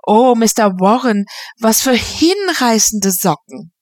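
An affected, gushing society lady with a theatrical drawl, as a woman reader puts her on. Her voice sits higher than the narration and swings widely, and the sound is dry and close. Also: animated, measured pace, no room sound. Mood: delighted. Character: flamboyant upper-class society woman, theatrical.

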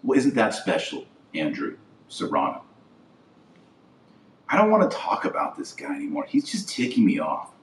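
A man with a fairly low voice delivers a podcast monologue of religious commentary. He is indignant and emphatic, building his points through repetition and rhetorical questions. The room echoes noticeably and he sounds a little away from the microphone. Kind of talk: impassioned opinion monologue to camera. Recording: echoey room, slightly distant mic.

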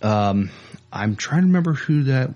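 A man's voice: medium pitch, lively intonation, normal speed, a little mellow, dull audio. A man co-hosting a podcast, reading entertainment news and chatting in a hesitant, agreeable way. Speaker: podcast co-host.

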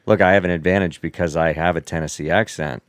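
A man's voice: sits low, fairly even intonation, quick pace, dry recording, clean studio sound. A man from the Seattle area, co-hosting a casual podcast chat, rambling and restarting his sentences and laughing often. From Seattle area, United States. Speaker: podcast co-host.

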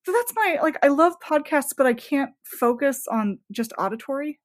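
A woman's voice: high-pitched, lively intonation, normal speed. A woman chatting casually as a podcast guest, effusive and enthusiastic. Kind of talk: casual chat.

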